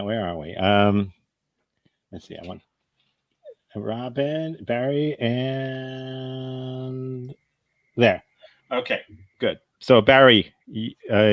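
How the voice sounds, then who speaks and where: A man giving halting, relaxed directions to performers in a live improv show. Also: medium pitch, no room sound, highs cut off.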